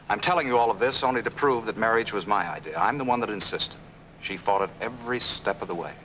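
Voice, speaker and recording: An actor playing a devoted, insistent young fiancé with earnest, emphatic 1940s film noir delivery. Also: male voice, low-pitched, bright, forceful tone, slight background noise, low-quality recording. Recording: old film soundtrack, faint music.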